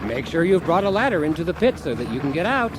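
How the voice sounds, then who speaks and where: A man playing a lively, blithely cheerful handyman host. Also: fairly high pitch, fast talker, noisy background. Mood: earnest, instructive. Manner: instructive, unruffled by disaster. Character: blithely cheerful accident-prone husband hosting a do-it-yourself show. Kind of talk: parody how-to radio comedy.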